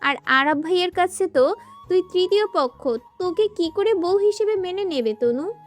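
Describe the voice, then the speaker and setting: A woman performs a young, unloved wife in an audio love story. Her voice is very high, sorrowful and self-blaming, with music underneath. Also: lively intonation, moderate pace. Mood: anguished, self-blaming. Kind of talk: dramatized audio romance story.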